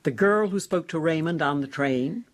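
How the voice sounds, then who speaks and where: A woman's voice: low-pitched, normal speed, lightly acted. An actress playing a cold, domineering older mother who questions and orders her grown children curtly in a radio drama. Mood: stern, controlling. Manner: curt, commanding, interrogating.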